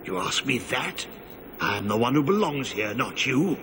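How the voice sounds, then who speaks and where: An actor playing a mad, tainted warden in a video game, muttering in halting, repetitive broken phrases. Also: male voice, medium pitch, very animated. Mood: unsettled, eerie. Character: crazed, tainted, mad old warden trapped in prison. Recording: noisy ambience behind the voice.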